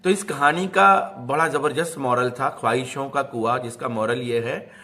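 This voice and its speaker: A man's voice: mid-range voice, normal speed, bright, forceful tone. A man telling moral stories in an informal, motivational style, explaining things and repeating phrases for emphasis. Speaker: storyteller.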